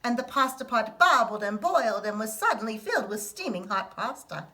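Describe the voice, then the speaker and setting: A woman reads a children's picture book aloud in a put-on Italian accent. Her telling is lively and expressive. The voice sits a little high, with a bright, crisp edge. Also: fairly quick.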